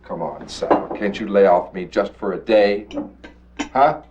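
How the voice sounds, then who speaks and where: Man acting a low-voiced, weary alcoholic father. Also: unhurried, natural voice. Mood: irritated, wheedling. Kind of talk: 1980s educational film acting. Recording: dull, noisy old VHS film soundtrack.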